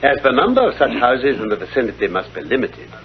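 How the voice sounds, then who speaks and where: A man playing a self-assured British gentleman detective in a vintage radio drama, speaking in precise, formal explanations. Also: medium pitch, big pitch swings, fast talker, natural voice. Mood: reasoning. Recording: dull, lo-fi vintage radio recording.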